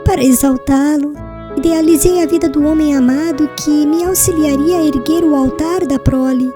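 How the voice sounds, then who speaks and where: A woman playing a gentle, devout, frail young bride in a dramatised audiobook, speaking tenderly and humbly in solemn literary phrases. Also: high-pitched, fairly even intonation, normal speed, over music, natural voice. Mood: wistful, tender.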